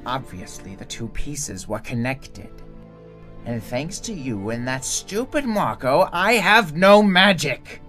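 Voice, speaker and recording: A woman's voice: low voice, lively intonation, slow pace, fairly bright tone, soft background music, full character voice. A woman voicing a small, scheming bird-like male monster villain in a cartoon comic dub, taunting and theatrical.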